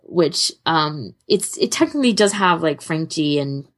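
A woman's voice: deep voice, lively intonation, measured pace. A woman co-hosting a podcast, chatting casually and giving recommendations in an informal, rambling, giggly way. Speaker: podcast co-host.

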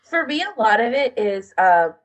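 A woman's voice: fairly high pitch, big pitch swings, moderate pace, highs cut off. A woman co-hosting a podcast, explaining things in a casual, conversational way. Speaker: podcast co-host.

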